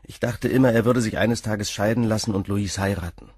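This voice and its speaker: A nervous young suspect in a radio crime drama. His husky, fairly low voice gives short, defensive answers, recorded close and dry. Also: a little fast, a little mellow, dry recording. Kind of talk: radio play acting.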